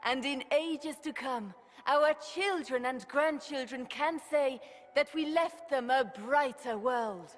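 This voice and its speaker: A woman's voice: high-pitched, takes it slowly. An actress playing a regal, composed empress in a video game, speaking formally and measuredly to her court. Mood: proud, ceremonial. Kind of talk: formal dramatic game acting. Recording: long hall reverb over music.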